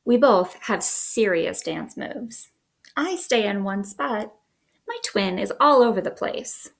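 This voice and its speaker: A woman in her thirties hosting a children's story time, reading aloud in an expressive, sing-song way at home. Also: mid-range voice, slow, creaky. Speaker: children's librarian, storytime host. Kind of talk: picture book read-aloud for young children. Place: small living room indoors.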